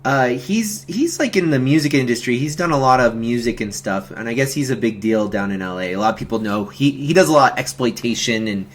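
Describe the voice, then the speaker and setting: A man hosting a casual film commentary stream, talking in a halting, rambling, slangy way. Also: mid-range voice, a little hiss or hum. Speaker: host of a film commentary stream, amateur horror filmmaker.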